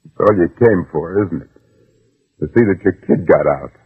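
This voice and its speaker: An actor playing a desperate, wavering gunman still sweet on his old girlfriend, in a 1960s radio drama, with a gravelly, raspy voice. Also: low voice, phone-quality sound. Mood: bitter, wary. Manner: tense, wavering, halting. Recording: old band-limited radio recording, music underneath.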